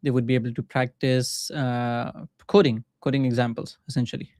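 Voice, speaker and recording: A man with a Pakistani accent and native Urdu talks casually through live coding. His voice is smooth and clear at an ordinary pitch, recorded close and dry. He thinks aloud in short, halting phrases, like a streamer chatting as he works. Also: level tone, darkish tone, no room sound. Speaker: livestreaming coder and mentor.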